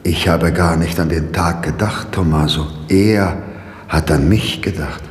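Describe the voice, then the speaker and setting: A resigned male prisoner in an old radio play, grave and contemplative. His low voice moves slowly through a long, echoing reverb. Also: fairly even intonation, a little hiss or hum. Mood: resigned, pensive. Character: resigned, pensive male prisoner awaiting his last day. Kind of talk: 1960s German radio drama acting.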